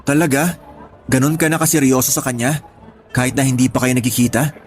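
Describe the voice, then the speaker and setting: A man's voice: medium pitch, fairly even intonation, a little fast, soft background music, lightly acted. A man playing a curious, doubting young friend in a Tagalog radio drama, casual and questioning. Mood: probing.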